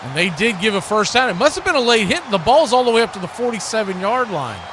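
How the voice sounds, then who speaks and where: A man's voice: high-pitched, sing-song, fast talker, noisy background. A man giving live play-by-play commentary on a football game.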